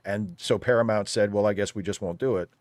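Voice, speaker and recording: A man with a slightly low voice speaks as a panel guest in casual, opinionated chat. He builds his points with emphasis. The sound is dry, with very little room in it. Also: fairly even intonation, fast talker, a little mellow, dry recording. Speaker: returning guest commentator on a live show. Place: remote video call.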